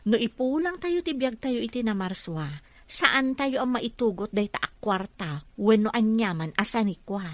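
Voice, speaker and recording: A woman's voice: on the high side, lively intonation, normal speed, dry recording, lightly acted. A woman with an Ilocano accent playing a moralizing, gossipy older sister in a radio drama. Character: moralizing big sister gossiping about greedy colleagues.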